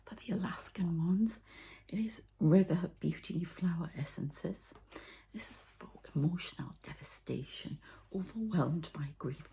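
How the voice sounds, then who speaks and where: A woman's voice: on the low side, measured pace, creaky, mellow, husky. A woman whispering breathily in an ASMR style, explaining things informally step by step with some hesitation. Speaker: ASMR host and energy healer.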